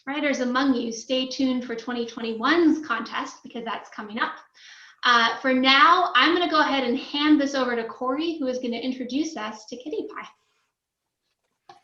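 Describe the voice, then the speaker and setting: A woman's voice: high-pitched, bright, forceful tone. A woman hosting a screenplay table read, casually introducing the event and the people taking part. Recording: muffled, echoey room audio.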